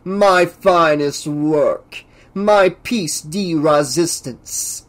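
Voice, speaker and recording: A man voicing a pompous, demanding male scientist obsessed with his own genius, in a drawn-out, grandiose delivery for an audiobook story. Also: a little high.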